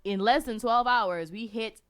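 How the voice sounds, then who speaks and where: Brash female podcast co-host, bright voice, argumentative. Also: on the high side, a little slow. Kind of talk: casual chat.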